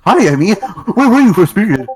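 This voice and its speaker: A man's voice: high-pitched, sing-song, a little fast, voice pushed up. A man voicing an embarrassed, awkward teenage male cartoon hedgehog in an amateur audio drama. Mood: friendly, awkward.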